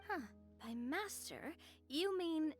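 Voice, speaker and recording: Eager young noblewoman: high, lively, slightly flustered. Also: slow pace, faint music behind. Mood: flustered, chatty. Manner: hesitant, expressive. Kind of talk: anime game voice acting.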